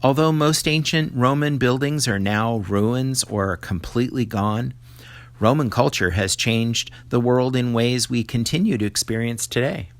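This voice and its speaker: A man's voice: mid-range voice, measured pace, no room sound. A man reading a scripted educational lesson aloud to young students, explaining things in the manner of a teacher. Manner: explanatory, poses questions to the listener. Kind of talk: scripted educational read-aloud addressed to children.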